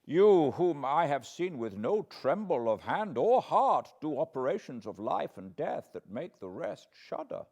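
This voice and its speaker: A man voicing an elderly foreign professor from a classic novel, solemn and fatherly, with quaint, deliberate phrasing. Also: fairly high pitch, sing-song, darkish tone, voice pushed up, full character voice. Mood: intense, hushed. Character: elderly foreign male professor, grave, tender, paternal, eccentric.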